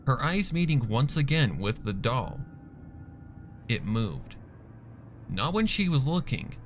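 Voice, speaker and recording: A man narrating a dark story from a script in a dramatic reading style. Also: medium pitch, a little hiss or hum. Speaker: fan fiction reader.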